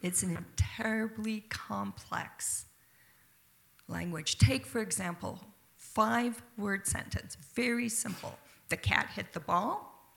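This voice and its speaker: Brief: woman narrator, slow unhurried read, some vocal fry, soft measured delivery. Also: medium pitch. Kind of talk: scripted narration.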